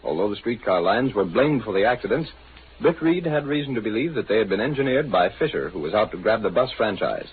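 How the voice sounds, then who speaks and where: A man's voice: on the low side, big pitch swings, fast. A man announcing and narrating an old radio serial in a formal, dramatic scripted style. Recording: noisy, tinny old radio recording.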